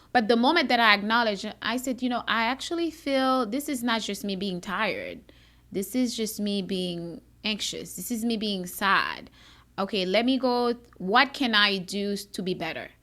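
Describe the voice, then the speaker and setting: A woman podcast host from Haiti talks in a bright, crisp-edged voice at a middle pitch. Her delivery is candid, chatty and bubbly, like an open conversation with a guest. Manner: animated, chatty, self-described bubbly.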